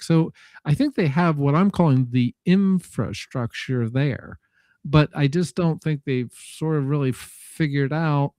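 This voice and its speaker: A man's voice: on the high side, darkish tone, dry recording. Man thinking aloud, dry close sound. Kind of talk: casual tech discussion.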